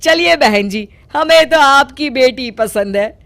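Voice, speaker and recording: A woman's voice: high-pitched, expressive, takes it slowly, vocal fry, fairly bright tone, no room sound, pitched above the performer's own voice, exaggerated voice. A woman voicing a domineering, scolding middle-aged mother-in-law in a cartoon story. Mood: pleased. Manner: scolding, commanding.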